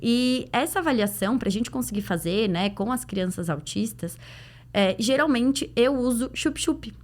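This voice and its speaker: Higher-pitched Brazilian woman explaining conversationally. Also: animated, moderate pace. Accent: Brazilian Portuguese. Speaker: guest, pediatric dental clinician. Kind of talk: conversational podcast interview answers.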